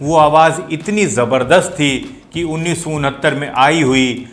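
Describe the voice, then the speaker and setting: A man's voice: a little high, a little slow, fairly bright tone. A man hosting a talk on playback singing, explaining with enthusiasm and admiring rhetorical asides.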